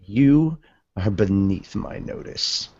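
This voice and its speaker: Someone doing a haughty demon bad guy from a manga dub, low and slow, kinda muffled lo-fi audio. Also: animated, darkish tone, husky, heavily acted. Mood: haughty disdain. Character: arrogant demon villain, cold and disdainful toward humans.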